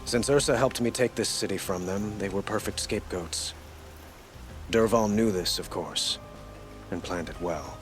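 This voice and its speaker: A man acting as a regal young monarch from a fantasy video game. He is gracious and troubled, choosing formal words with measured, earnest weight. Faint music plays behind him. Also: lowish voice, lightly acted. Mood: grave. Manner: measured, formal, courtly delivery.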